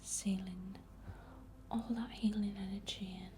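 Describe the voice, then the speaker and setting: A woman whispers in a soft, breathy ASMR style, guiding a healing meditation. Her voice is mellow and soothing, with unhurried phrasing. A faint layer of background noise sits behind her. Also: medium pitch, fairly even intonation. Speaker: ASMR host leading a healing session.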